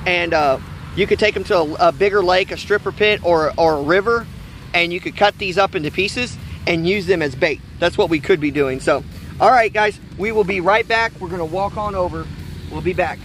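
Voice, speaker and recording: High-voiced American man, folksy and chatty. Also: moderate pace, fairly bright tone. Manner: folksy, chatty, talking to viewers. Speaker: vlog host, father fishing with family. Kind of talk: casual outdoor fishing vlog chat. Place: outdoors beside a park pond. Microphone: handheld phone camera a few feet away. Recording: outdoor ambient noise, handheld camera mic.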